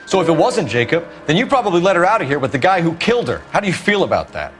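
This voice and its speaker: Stern, clipped detective. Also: male voice, a little high, sing-song, fast, a bit bright, soft background music. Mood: pressing, accusing. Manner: formal, probing, businesslike questioning. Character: stern, clipped young male 1940s police detective. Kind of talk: video game voice acting.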